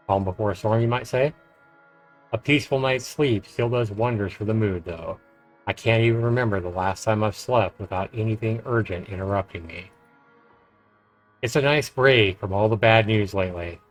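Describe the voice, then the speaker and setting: A male game streamer with a slightly low voice reads visual novel narration aloud. His delivery is measured, mixed with casual commentary, over soft game music.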